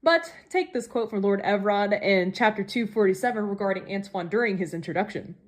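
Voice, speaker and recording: A woman with a medium-pitched voice speaks as if leaving a prepared message for a fan show. Her delivery is playfully theatrical, with affectionate mock-dramatic phrasing. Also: a little fast. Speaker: podcast listener sending a voice message.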